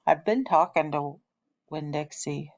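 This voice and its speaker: A woman's voice: low voice, measured pace. An eager young girl of ten from a storybook, pleading and earnest, played by a woman reading aloud. The voice lifts a little for her lines. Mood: nervous, pleading.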